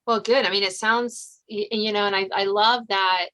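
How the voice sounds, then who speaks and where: A woman's voice: mid-range voice, fairly even intonation, normal speed, pushed and bright. A woman hosting a podcast, talking as an author in a conversational interview style.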